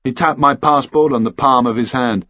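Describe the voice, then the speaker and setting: A man's voice: mid-range voice, moderate pace, a bit bright, no echo. A man reading a first-person thriller story as an audiobook narrator, on a somewhat lo-fi recording.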